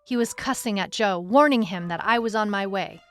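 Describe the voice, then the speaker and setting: A woman's voice: medium pitch, moderate pace, a bit bright, close to an everyday voice. Determined female detective, played by a woman, mid-range voice, first-person audio drama narration with music underneath. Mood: brooding, reflective. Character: determined female detective telling her own case. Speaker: lead narrator.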